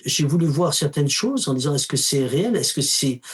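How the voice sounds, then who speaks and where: A man with a fairly high voice talks casually in an interview. He is a spiritual teacher who tells stories, acting out the dialogue with lively asides. His tone stays informal and direct. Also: normal speed. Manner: storytelling, acts out quoted dialogue with emphatic asides; uses informal tu to the listener.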